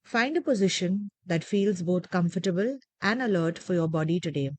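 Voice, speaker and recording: A woman leading a guided meditation with gentle, measured, soothing instructions and deliberate pauses. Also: on the low side, animated, no echo.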